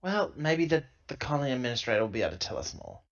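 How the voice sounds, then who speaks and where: Bright male player, dull lo-fi recording. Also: medium pitch, big pitch swings, very fast. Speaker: roleplaying game player. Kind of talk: casual play, describing and voicing own character.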